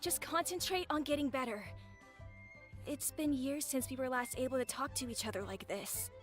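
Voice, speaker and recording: Woman acting as a high-voiced, caring child. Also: normal speed, soft background music, close to an everyday voice. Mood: gentle, reassuring. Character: caring child comforting a parent. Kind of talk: video game character acting.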